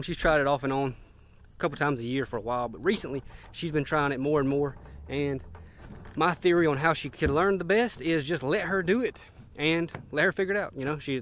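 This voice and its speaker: A young man, talking excitedly and casually as a fishing vlogger on a boat and by a lake. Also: a little high, slight background noise. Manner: excited, chatty, rambling. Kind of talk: casual vlog narration. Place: outdoors on a boat, boat ramp and lakeside.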